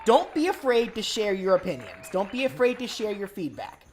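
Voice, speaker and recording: A woman's voice: mid-range voice, big pitch swings, a little fast, a little hiss or hum. A woman hosting a casual live stream, rambling and restarting her words as she talks to chat.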